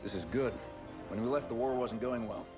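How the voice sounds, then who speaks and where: A man's voice: mid-range voice, normal speed, natural voice. The dry, authoritative man in the captain's chair of a game's warship played by an actor, issuing clipped orders over music. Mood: resolute, hopeful. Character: steady, commanding male starship captain, middle-aged. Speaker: voice actor in game cutscene. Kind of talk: scripted sci-fi drama.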